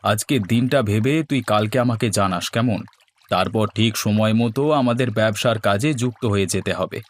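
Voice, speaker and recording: A man's voice: fairly low pitch, normal speed, natural voice. A man playing a hardworking, friendly village fisherman and father in a cartoon story. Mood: agreeable, earnest. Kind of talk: Bengali cartoon story dialogue.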